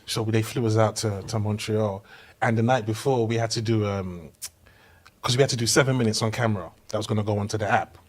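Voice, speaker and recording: A young man with a London accent, a comedian guest telling stories in a relaxed podcast chat, animated and laughing through his punchlines. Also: fairly low pitch, fairly quick. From Hackney, East London. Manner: animated storyteller who laughs through punchlines and acts out quoted voices. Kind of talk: casual chat, anecdotal storytelling.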